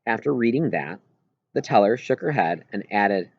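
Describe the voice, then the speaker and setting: Man reading a story aloud, expressive pitch. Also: normal speed, creaky, slightly rough, lo-fi sound. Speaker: audiobook reader. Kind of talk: first-person narration of a children's novel, read aloud.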